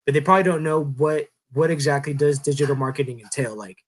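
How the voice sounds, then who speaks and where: A man's voice: on the high side, quick pace. Thirty-something man, casual explainer. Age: 30s. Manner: relaxed, explanatory, many fillers and restarts. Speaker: podcast co-host. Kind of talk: casual podcast chat.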